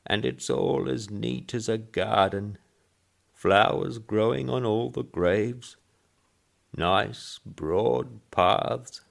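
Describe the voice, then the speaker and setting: A man's voice: fairly low pitch, drawn-out words, creaky, pitched above the performer's own voice, heavily acted. A man voicing a frail old Englishman in a storybook reading, chatty and rambling, with a piping, quavering voice. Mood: chatty, pleased. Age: older. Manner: rambling, complaining gossip. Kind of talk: short story character voice.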